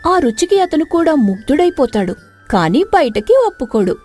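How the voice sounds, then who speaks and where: A woman's voice: sits high, sing-song, normal speed, a little mellow, faint music behind. A woman narrating a scripted story in a dramatic storytelling style.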